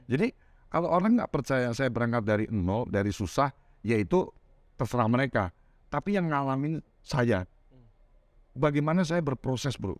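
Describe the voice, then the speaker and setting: A man in his thirties from Semarang with a Javanese-tinged Indonesian accent, telling his life story as a podcast guest, animated and laughing often. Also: mid-range voice, moderate pace, long pauses, no room sound. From Semarang, Central Java, Indonesia. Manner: animated, laughs often, keeps adding "Bro". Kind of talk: casual storytelling interview.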